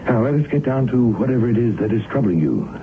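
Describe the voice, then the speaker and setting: An actor playing a grave, learned doctor who narrates an eerie case in a literary, dramatic radio drama style. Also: male voice, medium pitch, lively intonation, a little hiss or hum, natural voice. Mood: kindly, businesslike. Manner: measured, literary, dramatic delivery. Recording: tinny old radio recording, loud music behind.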